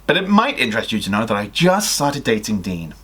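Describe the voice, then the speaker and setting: A man's voice: fairly low pitch, very animated, brisk, sharp tone, husky, exaggerated voice. A man voicing an exasperated teenage schoolgirl from a story, firm and emphatic but trying to be kind. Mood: restrained, firm.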